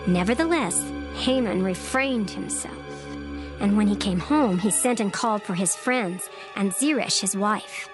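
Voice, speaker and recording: A woman narrating a dramatized scripture recording in a measured, solemn storytelling manner. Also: medium pitch, expressive. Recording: narration over clear background music. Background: background music.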